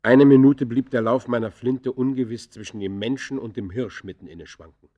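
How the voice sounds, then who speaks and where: An actor playing an embittered outlaw poacher, confessing in the theatrical, literary manner of a classic German radio play. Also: male voice, medium pitch, fairly even intonation, normal speed, mellow, highs cut off, lightly acted. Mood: tense, haunted.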